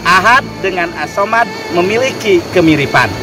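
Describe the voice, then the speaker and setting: A man giving an explanatory religious lecture in a measured, didactic way, phrase by phrase. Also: high-pitched, animated. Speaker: lecturer on Quranic studies. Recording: distant mic with music behind. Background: clear background music.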